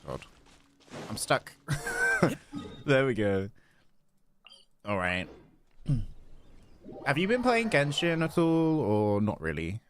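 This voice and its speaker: A man's voice: mid-range voice, huge pitch swings, slow pace, pauses often, noisy background. A man livestreaming a video game, chatting casually and distractedly to viewers between reactions to play. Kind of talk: casual chat while playing a video game.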